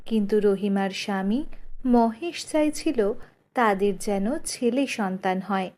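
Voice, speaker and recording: A woman's voice: mid-range voice, lively intonation, measured pace, a little mellow. A woman reading scripted narration for a children's cartoon story.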